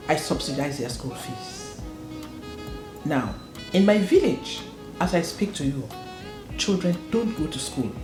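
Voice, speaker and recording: A woman from northwest Cameroon speaks in a lowish voice, earnest and emphatic, and she repeats words to drive a point home. Her voice carries a roomy echo, with faint music underneath. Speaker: school proprietor and educator. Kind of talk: interview answers.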